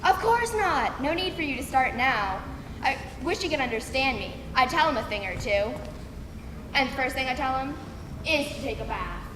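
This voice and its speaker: An indignant old donkey acted by a woman on a children's stage, wry and full of big plans. The high voice swings widely with theatrical exclamations, in an echoing hall with music behind. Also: lively intonation, normal speed, background noise. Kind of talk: children's folktale stage play. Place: large hall, stage performance.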